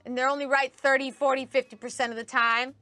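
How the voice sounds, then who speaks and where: A young woman on a studio card-game stream, pitching herself in a playful, mock-boastful, giggly way. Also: level tone, fast talker, pushed and bright, dry recording, soft background music. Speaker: stream show cast member. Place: studio set with a card table.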